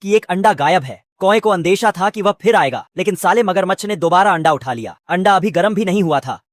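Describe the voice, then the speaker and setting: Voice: medium pitch, lively intonation, brisk, no echo. A narrator telling comic scripted stories over cartoon clips in a crude, slangy way.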